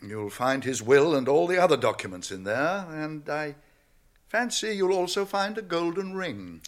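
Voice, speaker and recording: A grave old British wizard in a radio play. His delivery is measured and secretive, full of cautionary weight, with a widely swinging pitch that keeps each phrase expressive. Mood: kindly. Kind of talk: British radio drama acting.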